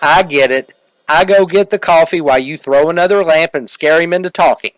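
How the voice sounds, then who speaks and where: A man's voice: a little high, brisk, dry recording, voice pushed up, natural voice, rough recording. A man voicing a naive, dim-witted detective sidekick in a comic police-drama parody. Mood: eager.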